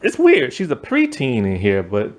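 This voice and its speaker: A man casually reviewing a cartoon, rambling with lots of "like" and "you know" and sounding enthusiastic. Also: normal speed. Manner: enthusiastic, lively pitch swings.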